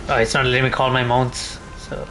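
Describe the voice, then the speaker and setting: A man's voice: mid-range voice, completely flat delivery, fairly quick. A man giving casual gameplay commentary, thinking aloud to himself as he plays. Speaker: gaming video host. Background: game music.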